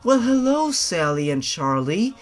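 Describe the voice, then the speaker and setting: Guy voicing a polite young lady in a creepy story, kinda formal, lively pitch, music on it. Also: unhurried. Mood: kind. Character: polite young woman, kind to children, coolly menacing.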